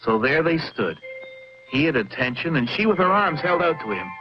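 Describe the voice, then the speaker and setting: A male narrator telling a children's story with a lively, rising-and-falling delivery and a chatty way of addressing young listeners. The old recording is dull and lo-fi, with loud music running under the voice. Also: on the high side, normal speed.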